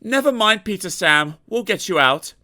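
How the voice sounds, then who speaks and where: A man voicing a helpful diesel engine who talks slowly and heartily. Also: sits high, lively intonation, bright, forceful tone, pitched above the performer's own voice, exaggerated voice. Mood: reassuring.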